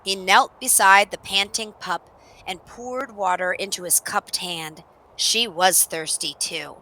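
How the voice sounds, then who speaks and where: Bright, unhurried woman reading a storybook. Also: medium pitch, low-quality recording. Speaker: storybook narrator. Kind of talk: expressive children's read-aloud narration.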